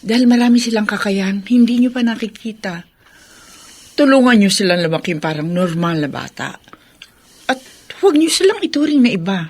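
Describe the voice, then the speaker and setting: A kind Filipino elder-sister figure played by a woman in a radio drama. She is encouraging and gently advising, with animated melody and a husky tone. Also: medium pitch, brisk, lightly acted. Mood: earnest, encouraging.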